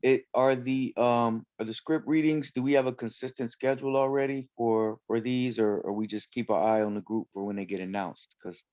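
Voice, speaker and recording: A man asking politely and haltingly in casual talk over a lo-fi video call. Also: mid-range voice, fairly even intonation, darkish tone, no echo. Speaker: cast member. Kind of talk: casual questioning. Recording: dull lo-fi video call audio.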